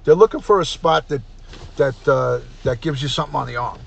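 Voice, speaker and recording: A middle-aged man hosting a casual livestream, chatty and repetitive, often clearing his throat. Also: medium pitch, fairly quick, lo-fi sound. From New York. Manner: chatty, repeats himself, frequent throat clearing. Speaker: livestream host, retired detective. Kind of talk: casual livestream chat, reading comments aloud.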